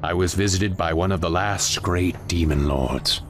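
Man playing a deep, brooding demon lord. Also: normal speed, over music, natural voice. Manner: brooding, portentous, commanding. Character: brooding, commanding exiled demon lord, grandiose. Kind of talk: dramatic fantasy game cutscene acting.

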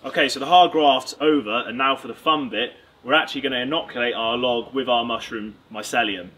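Bright upbeat British man teaching. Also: mid-range voice, fairly even intonation, fairly quick. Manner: upbeat, clear instructional delivery. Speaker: presenter. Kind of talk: step-by-step tutorial. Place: outdoors in a garden and woods.

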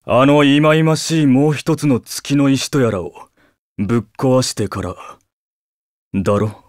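Man as a gruff, menacing demon fighter. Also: mid-range voice, takes it slowly, pauses often, no echo, studio quality, lightly acted. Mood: scornful, threatening. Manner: gruff, rough-spoken. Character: brusque, menacing male demon fighter, impatient and contemptuous. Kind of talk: anime game voice acting.

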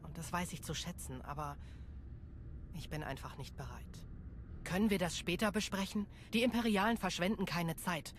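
An actress as a resolute female Jedi commander in a game cutscene. Her voice is deep for a woman, serious and stern, with clear noise behind it. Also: normal speed, lightly acted. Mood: reluctant, serious. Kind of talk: dubbed video game cutscene acting.

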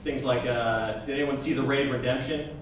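A young man pitching a newsletter on a convention panel, quick and jokey with playful asides. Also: fairly even intonation. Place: large convention hall. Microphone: table mic. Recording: echoey hall with loud crowd and noise, taped from far.

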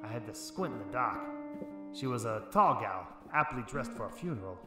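An actor playing a hard-boiled, world-weary 1940s private investigator in a noir radio drama, with tough, wry delivery. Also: male voice, medium pitch, expressive, moderate pace, reverberant, over music, natural voice. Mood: wry, brooding.

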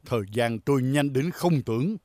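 A man voicing a solemn veteran robot warrior in a cartoon dub, telling things in a measured, formal way. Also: mid-range voice, darkish tone, dry recording, studio quality, natural voice. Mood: solemn, nostalgic. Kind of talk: Vietnamese cartoon dubbing.

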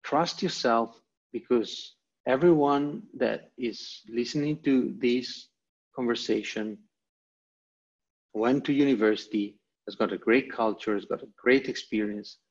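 A man's voice: mid-range voice, measured pace. An Italian man with an Italian accent presenting a motivational online lecture, speaking in emphatic, repeated short phrases over a video call. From Italy. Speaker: guest presenter. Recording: dull, lo-fi video call audio.

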